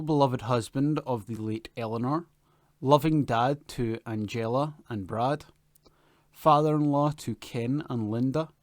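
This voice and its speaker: A man's voice: mid-range voice, no echo, clean studio sound. A man reading newspaper announcements and articles aloud from a script as a volunteer reader. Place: at home.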